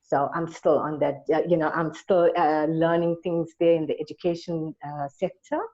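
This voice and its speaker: A South African woman speaks as an interview guest in a deep, dark-toned voice. She talks conversationally and earnestly about herself and her work. The audio is dull and lo-fi. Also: fairly even intonation, normal speed. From Durban, South Africa.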